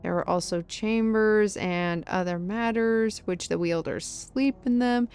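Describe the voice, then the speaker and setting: A woman's voice: mid-range voice, measured pace, darkish tone, clean tone, soft background music, lightly acted. A woman playing a knowledgeable fantasy companion, explaining lore in an earnest, wordy way in an audio roleplay. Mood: instructive.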